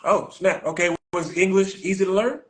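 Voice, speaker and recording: An American man hosts a casual podcast over a live-stream call. He talks in a loose, slangy, easygoing way, leaning on fillers and repeats, and his voice sits a little above the usual male pitch. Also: moderate pace. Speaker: podcast host. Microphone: remote live-stream call.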